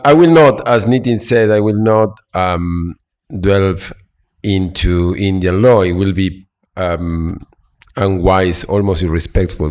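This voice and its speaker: A man's voice: low-pitched, darkish tone. A man from Argentina, speaking as a panelist with many fillers and restarts. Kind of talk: panel talk. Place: conference hall.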